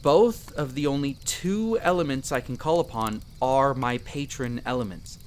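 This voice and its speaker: Casting: a man to play a young male elf explorer for roleplay audio drama. Friendly, self-deprecating, sincere, medium pitch, speaking one-to-one over outdoor background noise. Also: moderate pace, close to an everyday voice. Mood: modest, explaining. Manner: conversational, self-deprecating, earnest.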